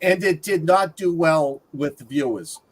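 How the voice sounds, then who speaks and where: A man with a fairly high voice speaks as a co-host in a relaxed, conversational film discussion. He lays out facts and figures in everyday language. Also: measured pace. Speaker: movie podcast co-host.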